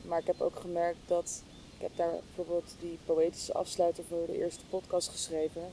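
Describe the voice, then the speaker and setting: Woman thinking out loud in a casual chat, kinda hesitant, mellow voice, rumbly noise behind her. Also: monotone, normal speed. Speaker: interview guest, podcast hostess. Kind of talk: casual, reflective conversation. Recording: clear low rumbling background noise.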